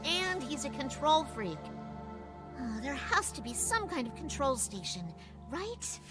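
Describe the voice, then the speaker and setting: A sassy, caring young American hedgehog girl from a video game. Her voice is very high and wildly expressive, with clear music in the background. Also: a little slow. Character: perky, feisty young female hedgehog. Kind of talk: video game cutscene acting.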